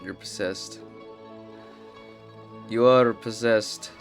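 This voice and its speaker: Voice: medium pitch, steady tone, very slow, faint music behind. A player giving casual, offhand commentary on a game, mockingly echoing lines. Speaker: game streamer reacting.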